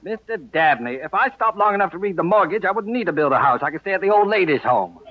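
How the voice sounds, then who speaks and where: A man's voice: high voice, lively intonation, fast, a little hiss or hum. A man playing a stubborn, exasperated husband and homeowner in an old-time radio comedy, indignant and flustered in a clipped delivery. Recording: dull, band-limited vintage radio recording.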